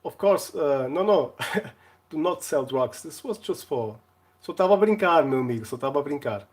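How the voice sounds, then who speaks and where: A man from Portugal, chatting casually on a live stream in a jokey way, often halting to search for words. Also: a little high, lively intonation. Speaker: live streamer, console repair video maker.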